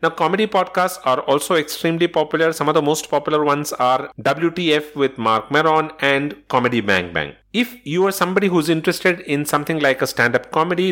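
A man's voice: fairly high pitch, a bit bright. A man hosting a solo podcast episode, explaining points in a methodical, instructional way from loose notes. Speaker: podcast host.

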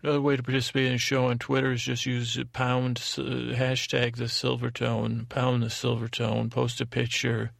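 A male podcast host with a creaky voice rambles in a halting, meandering way. His pitch stays fairly even as he wanders into tangents and repeats himself. The sound is dry, with little room around it. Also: dry recording.

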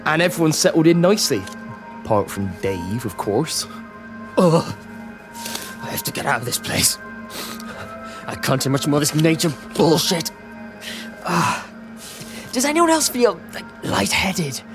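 A man's voice: on the high side, sing-song, unhurried, long pauses, over music. An actor playing a male colony farm worker in an audio comedy drama. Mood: contented.

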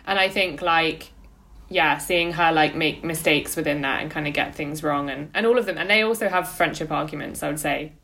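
A woman with a low-pitched voice talks as a podcast co-host. Her delivery is casual and conversational, with informal phrasing. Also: normal speed, fairly bright tone. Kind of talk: casual podcast chat giving recommendations.

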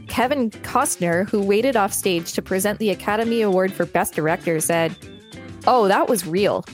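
A woman's voice: mid-range voice, moderate pace. Female host-narrator, medium register, reading reference copy aloud, light music bed. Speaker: podcast host and reader. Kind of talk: reading an encyclopedia article aloud. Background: background music.

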